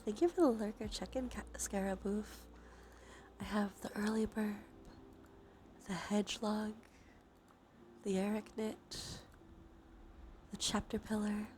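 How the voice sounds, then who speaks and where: A woman with a stuffy nose doing a cozy, gentle ASMR stream, chatting casually in a soft, breathy voice that often drops into whispers. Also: medium pitch, a little hiss or hum. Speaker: livestreamer hosting an ASMR stream. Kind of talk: cozy ASMR, casual chat while gaming. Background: soft ambient soundscape.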